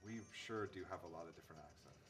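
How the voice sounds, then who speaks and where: A man from California chatting casually on a charity gaming stream, relaxed and quick to laugh. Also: low-pitched, soft background music. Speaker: marathon co-host. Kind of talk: casual chat.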